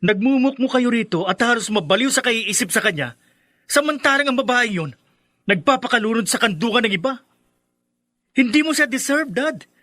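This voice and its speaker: An actor playing a sharp-tongued young man who mocks his departed stepmother, in a radio drama. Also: extremely high pitch, animated, moderate pace, dry recording, clean studio sound, heavily acted. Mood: scornful. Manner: mocking and spiteful.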